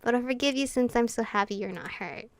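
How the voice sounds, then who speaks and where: Woman voicing a soft, anxious young male vampire. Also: fairly high pitch, brisk, no echo, lightly acted. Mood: relieved, tender.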